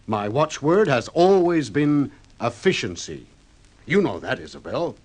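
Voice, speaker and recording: A man's voice: medium pitch, very animated, measured pace. An actor playing a self-important, exasperated millionaire businessman, brusque and emphatic, in a golden-age radio drama. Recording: vintage radio drama recording.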